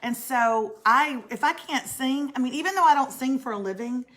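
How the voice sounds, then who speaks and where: A middle-aged woman with a raspy voice, co-hosting a casual morning chat and clearing her throat often. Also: high-pitched, moderate pace, fairly bright tone, rough recording. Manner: chatty, self-mocking, frequent throat clearing. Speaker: co-host.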